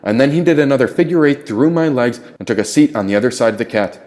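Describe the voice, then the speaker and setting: A man's voice: medium pitch, fast talker, a little mellow. A man reading his own first-person story as dramatic audiobook narration.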